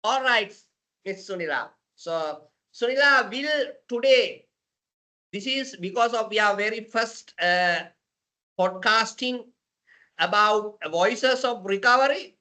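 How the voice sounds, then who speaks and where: A woman with a Sri Lankan accent hosting a podcast, speaking in a halting way and often repeating her phrases. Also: mid-range voice, pushed and bright. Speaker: podcast host. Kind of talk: unscripted podcast introduction and interviewing.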